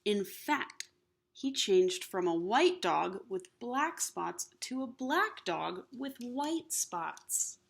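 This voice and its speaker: Woman doing an expressive storybook read aloud for kids, voice goes up and down a lot, little hushed asides. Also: medium pitch, drawn-out words, creaky, breathy edge. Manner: animated, with hushed asides to the listeners. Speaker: storybook reader. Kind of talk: expressive read-aloud of a children's picture book.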